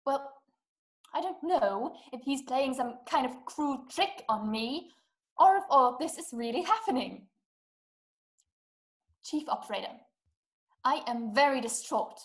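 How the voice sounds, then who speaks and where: A woman's voice: sits high, lively intonation, measured pace, long pauses. A young woman playing a distraught, imperious invalid wife in a play, speaking with dramatic, emphatic, formal diction. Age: young adult. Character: distraught, imperious, self-pitying invalid wife, desperate and impatient. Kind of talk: theatrical stage-play acting over video call. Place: small dim room at home. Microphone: webcam video call.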